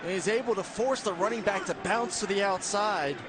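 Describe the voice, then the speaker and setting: Man explaining football schemes, high voice, coach-like, noisy stadium broadcast. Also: a little fast. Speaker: color analyst. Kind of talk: technical football analysis.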